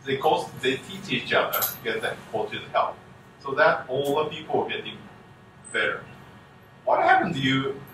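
A man gives a conference keynote talk in halting English full of restarts, speaking from the front of a conference room. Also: mid-range voice, big pitch swings, fairly bright tone. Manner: halting non-native English, frequent restarts. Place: conference room with projector screen. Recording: echoey room, distant mic, faint hum.

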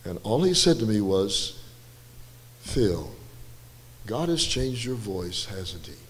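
An older man, a guest musician, telling a relaxed, rambling story with self-deprecating asides at a church, his voice slightly hoarse, on a handheld mic. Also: lowish voice, takes it slowly. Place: church stage, large indoor room. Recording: reverberant church hall with faint music.

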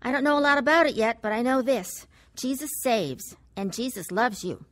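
An actress playing a gentle, earnest born-again daughter sharing her faith in a radio drama. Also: fairly high pitch, animated, moderate pace. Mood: earnest, assured.

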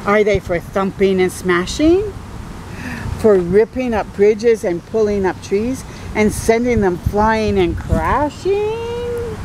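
A woman voicing an eager little boy monster, asking wondering, drawn-out storybook questions of his dad. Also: medium pitch, very animated, noisy background. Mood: eager, curious.

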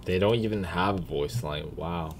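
A man's voice: sits low, moderate pace. A man giving blunt, informal reaction commentary as a gaming video creator, swearing casually. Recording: faint background noise, keyboard typing. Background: computer keyboard typing and clicks.

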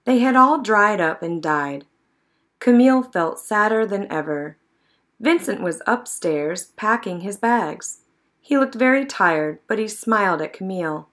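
A woman narrating a children's picture book in an expressive, measured storytelling manner. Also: medium pitch. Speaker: storybook reader.

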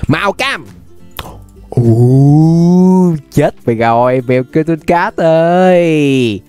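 A man's voice: on the high side, animated, takes it slowly, a little mellow, smooth, faint music behind. A young man with a Southern Vietnamese accent giving casual, playful, exclamatory gameplay commentary as a YouTube host. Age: young adult. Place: indoor room, webcam setup.

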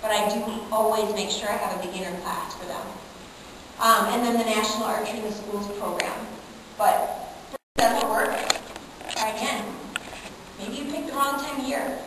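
An American woman speaking as an instructor in an informal seminar, with an animated, emphatic manner, often checking in with her listeners. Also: a little high. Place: conference meeting room, indoors. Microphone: far camera in the audience. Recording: echoey room from far off, background noise.

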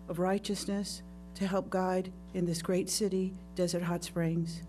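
A middle-aged woman leading a public prayer at a podium in a measured, reverent manner. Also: lowish voice, dark tone. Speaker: resident leading an invocation. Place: council chamber. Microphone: podium gooseneck microphone.

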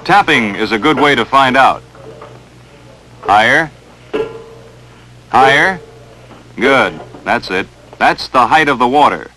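A man's voice: mid-range voice, expressive, slow, pauses often, bright, forceful tone, faint music behind, poor audio. An American man narrating a 1940s instructional film in a stern, emphatic, dramatic coaching manner, on a vintage film soundtrack.

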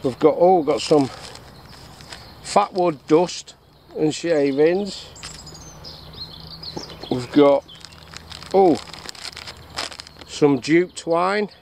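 A man's voice: fairly high pitch, drawn-out words, vocal fry, pauses often, a little mellow. Chatty English man in the woods. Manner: jokey, chatty, asks himself questions and answers. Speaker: bushcraft vlogger. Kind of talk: casual outdoor demonstration. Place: woodland camp outdoors. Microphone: action camera on chest or tripod. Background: birdsong and faint outdoor noise.

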